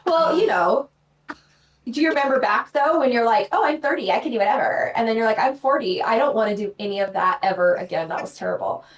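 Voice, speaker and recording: A woman in her forties talking as a podcast guest in a casual live chat, blunt, brash and quick to mock herself. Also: a little high, expressive. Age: 40s. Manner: blunt, brash and self-mocking.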